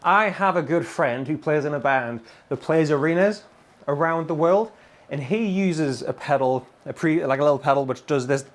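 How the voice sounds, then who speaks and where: A man's voice: a little high, moderate pace. A middle-aged British man giving an enthusiastic, emphatic and colloquial gear demo to camera. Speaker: guitar gear demonstrator. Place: indoor room full of guitars and amplifiers.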